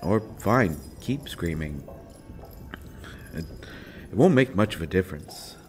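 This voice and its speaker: A very low-voiced, sardonic male villain acted by a man speaks slowly from his prison in an audio roleplay. He taunts theatrically, drawing out phrases with mocking pauses, over clearly audible background noise. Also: lively intonation, a little mellow. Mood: grim taunting. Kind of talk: scripted audio roleplay acting.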